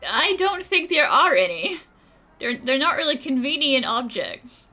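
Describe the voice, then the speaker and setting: A woman streamer with a bright, slightly high voice. She gives casual game commentary in a playful, wisecracking way, with game music underneath. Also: expressive, measured pace. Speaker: gaming streamer.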